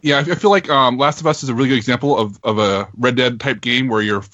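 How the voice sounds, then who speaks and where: A man's voice: mid-range voice, fast talker, fairly bright tone, dry recording. A man giving his take in a casual, analytical podcast discussion. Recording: dull, lo-fi close audio.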